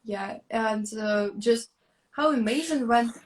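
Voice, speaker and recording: A young woman from Russia, speaking English as a with a voice a bit higher than most. She talks very slowly, pausing to hunt for the right word. Her manner is thoughtful and unhurried, like casual stream chat. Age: young adult. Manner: thoughtful and hesitant, searching for words. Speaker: YouTuber, co-host of a live stream.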